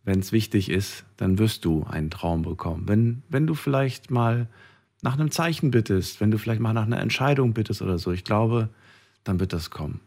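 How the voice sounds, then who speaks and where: Slightly husky, low-voiced male radio host. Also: normal speed, darkish tone. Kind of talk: casual phone-in talk.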